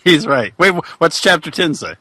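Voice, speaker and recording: A man's voice: a little high, animated, moderate pace, bright, forceful tone, no echo. A man co-hosting a podcast in casual comic banter. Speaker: podcast co-host.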